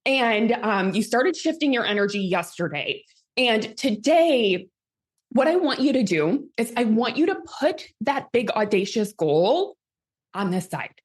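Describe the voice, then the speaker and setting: A woman's voice: fairly high pitch, sing-song, a little slow. A woman in her thirties, a coach leading a live session in an upbeat manner, her voice a little strained from talking a lot. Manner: upbeat, reads out audience comments. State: slightly strained voice, healing. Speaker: host and manifestation coach.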